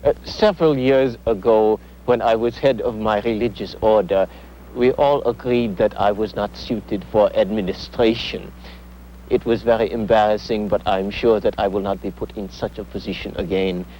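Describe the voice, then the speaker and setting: An actor playing a humble, reluctant German immigrant priest, formal, modest and earnest in an acted interview. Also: male voice, lowish voice, steady tone, normal speed, dark tone, a little hiss or hum, natural voice, poor audio. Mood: earnest, uneasy. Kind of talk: acted documentary-style interview.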